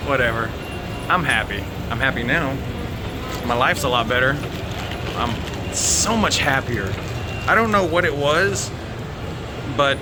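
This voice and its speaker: Rambling American man, noisy outdoor vlog. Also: on the high side. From United States. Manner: candid, rambling, halting, sweary. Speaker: vlogger. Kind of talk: casual rambling vlog talk to viewers. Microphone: handheld phone at arm's length. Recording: noisy outdoor recording with vehicle sounds.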